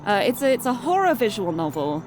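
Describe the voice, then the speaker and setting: A woman's voice: fairly high pitch, very animated, brisk. A woman from the UK with a British accent, live streaming a game, chatting and reading aloud. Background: music.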